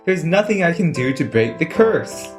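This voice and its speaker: A man's voice: a little high, very animated, moderate pace. A man playing a frustrated, defensive young boy under a curse, protesting plainly in a fantasy audio drama. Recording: faint music behind the voice.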